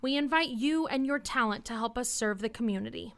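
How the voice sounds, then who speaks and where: Smooth, high-voiced woman reading a promo. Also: fairly quick, a bit bright. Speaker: theater company spokeswoman. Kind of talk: scripted promotional announcement.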